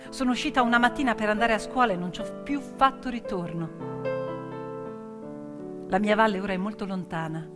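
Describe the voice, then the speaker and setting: A woman's voice: medium pitch, pitched below the performer's own voice, lightly acted. Woman playing an earnest, determined teenage girl activist. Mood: wistful, reflective. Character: determined, idealistic teenage Pakistani schoolgirl activist. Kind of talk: theatrical first-person monologue. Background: piano music.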